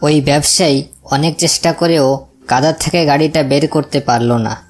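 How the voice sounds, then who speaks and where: Female narrator for a moral story, low register, scripted delivery, light music underneath. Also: steady tone, moderate pace, darkish tone. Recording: music behind the voice. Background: background music.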